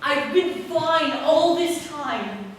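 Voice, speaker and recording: A woman's voice: high-pitched, expressive, extremely slow, a little hiss or hum, exaggerated voice. A young female student actor playing a distressed, defensive teenager in a school stage play, recorded in an echoey hall from far off. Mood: defensive, upset. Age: teens or young adult.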